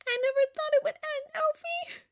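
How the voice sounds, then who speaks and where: A woman's voice: very high voice, moderate pace, voice pushed up. A woman voicing an emotional, melodramatic young woman from a storybook, heartbroken and indignant. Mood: tearful, whimpering.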